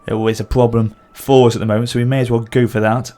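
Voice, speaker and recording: A man's voice: medium pitch, little pitch movement, fast talker, mellow. A man with a sore throat and occasional cough, casually commenting as he plays a strategy game. He thinks aloud and corrects himself as he goes. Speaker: gameplay channel host.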